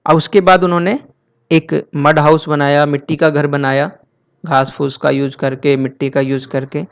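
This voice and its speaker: A man teaching a school lesson, reading text slowly and then explaining it in Hindi. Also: on the high side, level tone, creaky, smooth.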